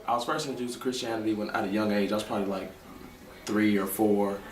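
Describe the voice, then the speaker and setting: A man's voice: on the low side, steady tone, fast talker. A man in his twenties giving long, earnest interview answers. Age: 20s. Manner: earnest, explaining at length. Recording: roomy echo from a distance with faint noise.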